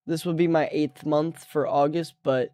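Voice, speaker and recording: A man's voice: fairly high pitch, normal speed, clear, resonant tone, no room sound. A young man on a live stream chatting casually and easygoing about his work day. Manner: relaxed, easygoing, trails off mid-sentence. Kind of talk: casual chat.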